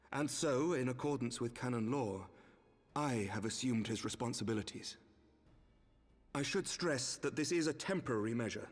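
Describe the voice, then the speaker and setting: An actor playing a grave, noble knight commander in a game, speaking formally and solemnly. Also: male voice, mid-range voice, lightly acted. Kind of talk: scripted game cutscene acting. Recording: game audio with background ambience.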